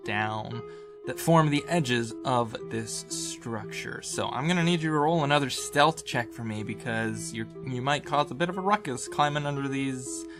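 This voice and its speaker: A man runs a tabletop game in a mid-pitched voice. He improvises his descriptions conversationally, feeling his way through details with small asides. Guitar music plays clearly behind him. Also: normal speed. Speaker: game master.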